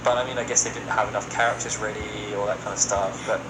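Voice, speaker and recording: A man's voice: fairly low pitch, fairly even intonation, fast talker, very noisy. A man talking casually as a podcast host in a discussion about games.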